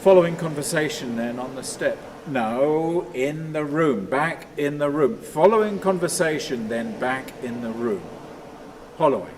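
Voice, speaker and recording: A brooding, lonely man, played by a male actor, delivers a radio drama monologue in halting, repeated fragments. His voice sits a little high, and there is clear ambient noise behind him. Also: darkish tone, close to an everyday voice. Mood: hushed, brooding. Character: troubled, lonely man obsessively telling himself stories.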